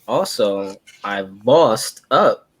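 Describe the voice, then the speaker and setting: A man co-hosting a podcast, joking around in loose, playful banter with drawn-out chants. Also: fairly low pitch, expressive, fairly bright tone, no echo. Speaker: podcast co-host. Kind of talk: casual banter.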